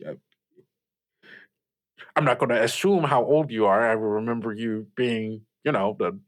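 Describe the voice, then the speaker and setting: A man's voice: fairly low pitch, unhurried, lightly acted. A man playing an awkward, eager teenage boy hosting a late-night radio call-in show in an improvised audio drama, stammering, polite and easily flustered.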